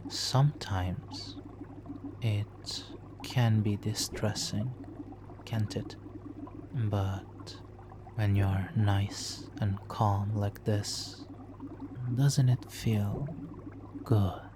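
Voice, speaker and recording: Male actor as seductive supervillain, very slow hushed delivery, low voice, soothing hypnotic coaxing, ASMR roleplay, trickling water behind. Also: steady tone, noisy background. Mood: musing, calm. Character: smooth-talking male supervillain nemesis hypnotically seducing a captive hero.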